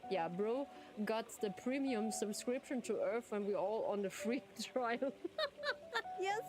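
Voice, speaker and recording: Giggly woman chatting slowly. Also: fairly high pitch, big pitch swings, poor audio. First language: German. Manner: giggly, laughs often. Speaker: streamer. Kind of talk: casual chat with viewers. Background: game music.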